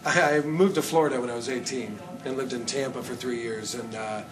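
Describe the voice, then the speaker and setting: A man's voice: medium pitch, brisk, crowd noise. A man from Iowa, a conga player, answering interview questions in a chatty, easygoing way. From Iowa, USA. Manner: chatty and laughing easily. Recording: distant mic with clear background noise.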